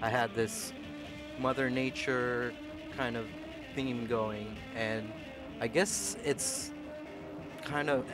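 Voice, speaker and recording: A man's voice: medium pitch, fairly even intonation, slow pace, long pauses, low-quality recording. Brief: male drummer from Sri Lanka, easygoing storyteller, music in background. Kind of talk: casual interview answers. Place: music club.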